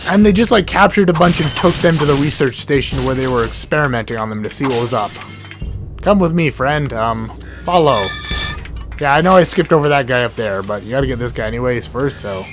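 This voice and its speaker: A hoarse man, sick, giving rambling casual commentary while playing a game, often stuttering and correcting himself. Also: mid-range voice, animated, a little fast. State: hoarse from illness. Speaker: let's play gamer. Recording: game music underneath.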